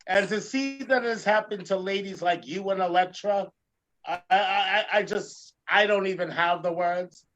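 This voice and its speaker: A man hosting a talk show as a comedian and drag performer, ranting emphatically in a theatrical way with a gravelly edge and repeating phrases for effect. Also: sits high, normal speed, a bit bright, poor audio. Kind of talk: animated talk show rant.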